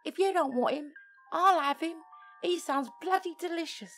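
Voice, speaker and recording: Woman voicing a brash, high-pitched teasing best friend. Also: moderate pace, fairly bright tone, soft background music. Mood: cheeky. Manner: slangy, mocking, exasperated. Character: brash, teasing young female best friend, blunt and impatient. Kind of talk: scripted audio story dialogue.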